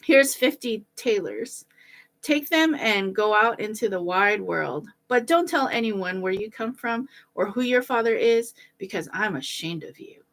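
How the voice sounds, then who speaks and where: A woman's voice: mid-range voice, animated, a little slow, a bit bright. A woman voicing an exasperated, stern father in a fairy tale, scolding as he talks. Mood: resigned, disgusted.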